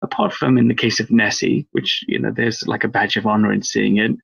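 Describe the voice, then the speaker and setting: A man's voice: fairly low pitch, steady tone, brisk, gravelly, a bit bright, husky, lo-fi sound. A man from Wales, a guest researcher on a podcast interview, talking in a rambling, thoughtful way with lots of fillers. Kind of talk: podcast interview over a call.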